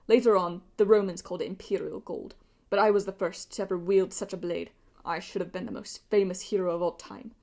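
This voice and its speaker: A woman voicing an arrogant, bitter golden-masked immortal pirate in an audiobook, with pompous, taunting delivery and breathy, drawn-out menace. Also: mid-range voice, a bit bright.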